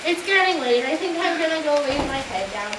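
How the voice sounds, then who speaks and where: A very high-pitched teenage girl acting out a schoolgirl in a homemade drama. She is recorded from a distance on a camera mic, with clear noise and hiss around her. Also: lively intonation, fairly quick, roomy sound. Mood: tired. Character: teenage schoolgirl friend, eager then frightened. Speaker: student actor in a homemade short film. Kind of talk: amateur scripted drama acting. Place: school hallway and dark barn. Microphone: far camera.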